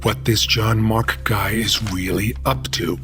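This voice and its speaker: Man acting driven cop, deepish voice, sharp and forceful, suspicious, audio drama. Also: fairly even intonation, unhurried, faint music behind. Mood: insistent, suspicious. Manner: insistent, urgent. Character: suspicious, driven male police officer.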